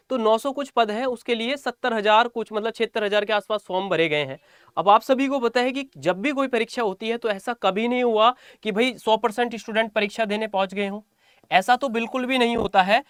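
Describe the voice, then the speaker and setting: A man's voice: high-pitched, fairly bright tone, dry recording. Male coaching teacher, dry close sound. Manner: repeats phrases for emphasis, checks understanding. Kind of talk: informal exam-update lesson addressed to students.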